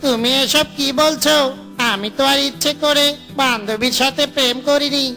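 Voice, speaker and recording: Jokey cartoon fox father played by a woman, high and sharp, boastful, over-the-top, light music underneath. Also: moderate pace. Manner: playful, exaggerated. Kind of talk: Bengali cartoon voice acting.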